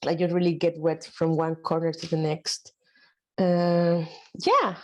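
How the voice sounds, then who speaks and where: A woman's voice: fairly low pitch, level tone, a little slow. Chatty woman from Mexico City. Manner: chatty, many fillers and laughs. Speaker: workshop participant. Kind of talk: casual chat.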